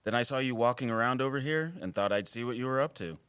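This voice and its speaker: An actor playing a wry, guarded wildlife biologist in an audio drama, with teasing, dry sarcasm and an earnest streak. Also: male voice, mid-range voice, fast talker, a bit bright, clear, resonant tone, dry recording, lightly acted. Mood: earnest, conspiratorial. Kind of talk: naturalistic audio drama acting.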